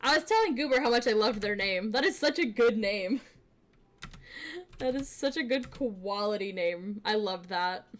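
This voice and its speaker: A young woman streamer chatting casually with her viewers, bubbly and giggly, with lots of fillers, switching between quiet asides and excited greetings. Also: normal speed. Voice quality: fairly deep voice for a woman. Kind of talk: casual live-stream chat.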